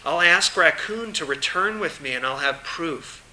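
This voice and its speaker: A man's voice: a little high, lively intonation, moderate pace, pushed and bright, poor audio. A man voicing a small female mouse in a storybook tale, curious and timid yet determined, with a questioning manner.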